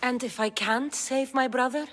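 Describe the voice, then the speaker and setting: An actress playing a determined Spartan warrior and commander in a dramatic video game, firm and resolute. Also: female voice, high voice, moderate pace, a bit bright, in a large hall.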